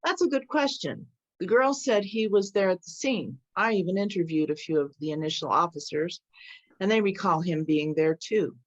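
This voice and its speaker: A woman acts out a police chief in a script reading, courteous and humble with measured authority. The voice is a bit low and the sound is slightly dull. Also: lightly acted. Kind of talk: table read of a screenplay. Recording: dull, lo-fi audio.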